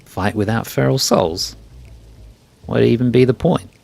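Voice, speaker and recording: A man's voice: medium pitch, a little slow, gravelly, a little mellow, pitched above the performer's own voice. A man voicing a bloodthirsty, incredulous arena fan from a video game, brash in manner. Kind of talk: scripted game dialogue read aloud. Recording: faint music behind.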